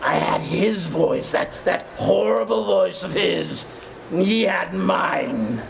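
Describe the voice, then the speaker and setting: A man playing a frightened, embittered captive in an old-time radio drama, with a gravelly, strained voice and halting, stammering delivery. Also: sits high, animated, exaggerated voice. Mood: anguished. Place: live performance in a café. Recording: noisy live stage recording.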